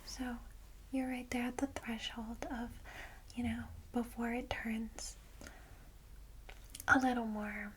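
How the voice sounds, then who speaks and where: A woman playing a gentle, welcoming vampire in a whispered ASMR roleplay, soft, breathy and reassuring as she guides a newcomer. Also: a little high, flat delivery, a little hiss or hum. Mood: gentle, encouraging. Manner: reassuring, unhurried, hushed.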